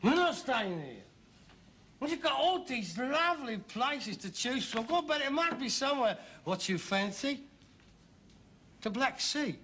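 An actor playing an exasperated, cajoling working-class son with a London accent, wheedling and sarcastic in a British sitcom. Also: male voice, very high-pitched, big pitch swings, unhurried, bright, forceful tone, a little hiss or hum, full character voice. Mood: coaxing. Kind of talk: British sitcom acting before an audience.

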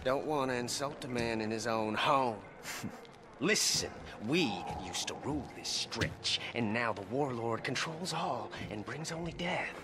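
An actor playing a wry, wary, erratic stronghold boss in a video game, with a taunting drawl. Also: male voice, medium pitch, measured pace, noisy background, close to an everyday voice. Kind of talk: video game character acting.

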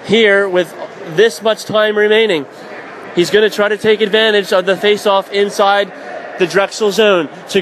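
A man calling radio play-by-play of a hockey game, climbing to shouts on shots and saves. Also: sits high, a little hiss or hum. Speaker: play-by-play announcer.

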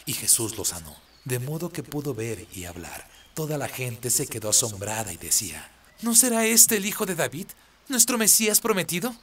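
Male radio drama narrator, mid-pitched, scripted storytelling over faint ambience. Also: fairly bright tone, slight background noise.

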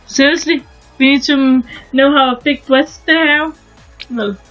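A young woman from Denmark with a Danish accent, chatting casually and haltingly as she shows a game on her new channel, restarting her sentences often. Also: high voice, steady tone, fairly bright tone, lo-fi sound, soft background music. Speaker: gaming channel host. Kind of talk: casual gameplay commentary.

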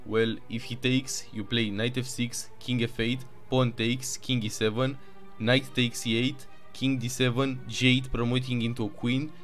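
A man with a Romanian accent narrating chess games move by move, with excited asides. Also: medium pitch, a little slow, faint music behind. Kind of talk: chess game commentary.

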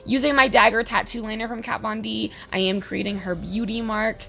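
A woman in her twenties with a slightly hoarse voice, giving a casual, chatty makeup tutorial voice-over. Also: a little high, steady tone, brisk, a bit bright. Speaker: beauty vlogger, host. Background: background music.

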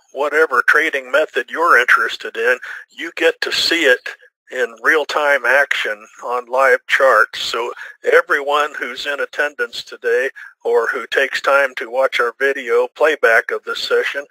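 A man opens a live webinar as its host, reading a smooth, upbeat script. His voice is very bright and forceful. It is recorded dry and close to the mic. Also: medium pitch, no echo, low-quality recording. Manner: upbeat, smooth salesy delivery.

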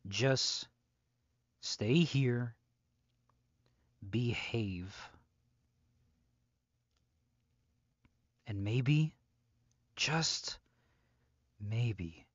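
Slow, menacing young male captor, acted by a man. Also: medium pitch, no room sound, dull audio. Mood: controlling, condescending. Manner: slow, deliberate, often dropping into breathy whispers. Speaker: audio roleplay performer. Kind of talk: ASMR-style audio roleplay drama.

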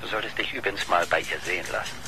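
An actor playing a pushy, sardonic reporter in a German radio crime drama, insistent and teasing. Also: male voice, deep voice, rapid-fire, noisy background. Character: pushy, sardonic male reporter chasing a murder story.

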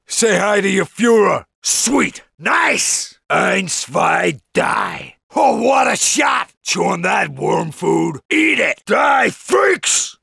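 A man playing a cocky, tough American Marine with a raspy voice, delivering combat one-liners for a video game. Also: sits high, sharp tone, dry recording, studio quality, exaggerated voice.

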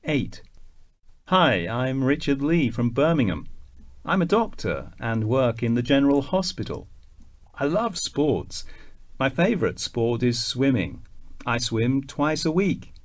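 A man reading scripted self-introductions for a listening exercise in a slow, careful way. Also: mid-range voice, very animated. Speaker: recorded listening-exercise speaker. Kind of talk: scripted textbook dialogue.

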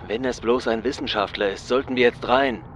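A man's voice: mid-range voice, fairly quick, natural voice. A man playing a wary street mage in an audio drama, blunt and colloquial. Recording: slight background noise.

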